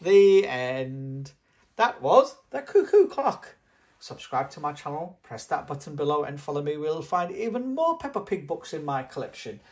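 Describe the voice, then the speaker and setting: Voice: mid-range voice, sing-song, moderate pace, darkish tone. An adult host reading a children's storybook aloud expressively, speaking directly to young children. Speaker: children's book reader and channel host. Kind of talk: scripted children's storybook narration.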